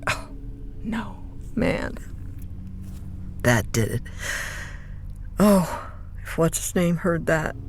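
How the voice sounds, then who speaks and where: A woman acting in a radio drama as a rattled, snappy overnight radio hostess with halting, exclamatory speech. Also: fairly low pitch, animated, slight background noise. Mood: flustered.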